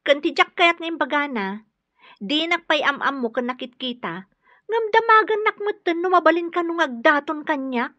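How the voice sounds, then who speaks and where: A young woman who is wary and easily miffed, in a radio drama. Her voice is high and forceful, recorded close and dry but slightly dull. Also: animated, moderate pace, dry recording. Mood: indignant. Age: young adult. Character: guarded, easily offended young woman, chatty with friends. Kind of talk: Ilocano radio drama acting.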